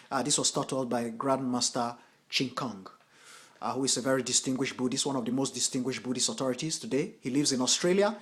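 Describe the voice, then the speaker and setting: A man reading his own scripture translations aloud with forceful emphasis, then talking casually to his viewers as a Buddhist teacher. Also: mid-range voice. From United States. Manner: declaims verses with forceful emphasis, then talks casually, addressing viewers as folks.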